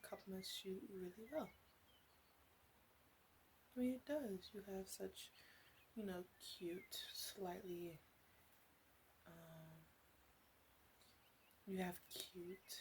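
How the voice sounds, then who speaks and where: Woman acting as a flirty witch, lowish voice, half-whispered ASMR roleplay, teasing, rambling, a bit bossy, noisy background. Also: a little mellow. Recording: clearly audible background noise.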